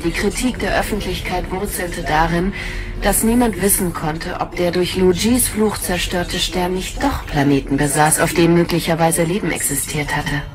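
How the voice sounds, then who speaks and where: A woman's voice: fairly low pitch, normal speed, lightly acted. A woman speaking scripted lines in a radio drama. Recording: music behind the voice.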